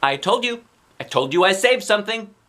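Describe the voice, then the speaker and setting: A man voicing a brash, excitable, gushing kindergarten girl in a storybook, childish and over-dramatic. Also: high-pitched, brisk, voice pushed up. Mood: excited, insistent.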